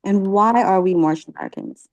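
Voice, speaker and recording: A woman leading a religious class, asking catechism questions and encouraging her students, often pausing with ums. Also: medium pitch, huge pitch swings, creaky, darkish tone, no room sound. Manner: encouraging, halting with many ums.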